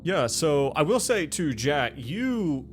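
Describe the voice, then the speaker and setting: A man's voice: on the high side, big pitch swings, slow pace, soft background music. A man hosting a tabletop game as game master, explaining and narrating in a casual conversational style. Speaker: game master and show host.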